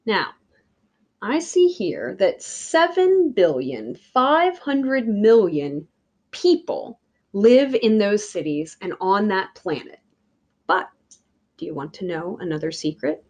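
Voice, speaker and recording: A woman reading a picture book aloud like a teacher, with deliberate, emphatic phrasing for young listeners. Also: medium pitch, very animated, darkish tone. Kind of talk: children's read-aloud.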